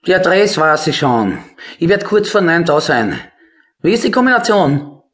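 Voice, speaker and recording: High-pitched, terse burglar. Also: male voice, big pitch swings, normal speed, fairly bright tone. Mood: curt, intent. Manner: terse, businesslike. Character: forty-year-old male burglar making terse phone arrangements. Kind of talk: radio play acting.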